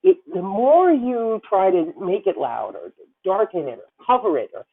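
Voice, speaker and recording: Woman, 50s, voice teacher, video call, tinny phone-like audio, lively swooping pitch, effusive rambling talk. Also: normal speed, creaky, husky. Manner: effusive, rambling, calls people honey. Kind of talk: masterclass coaching.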